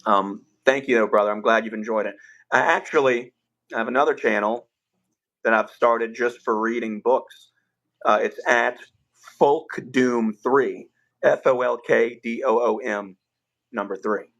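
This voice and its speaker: A young man hosting a livestream, telling stories in a relaxed, rambling way with filler words, his voice a bit rough. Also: medium pitch, a little slow, dull audio. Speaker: livestream host. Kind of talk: casual livestream chat.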